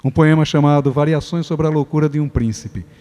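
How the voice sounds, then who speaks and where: A Brazilian man giving a formal opening speech as a festival organizer, reflective and lofty, pausing and restarting his phrases. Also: on the high side, dark tone. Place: theater stage at a live event. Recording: theater hall reverb.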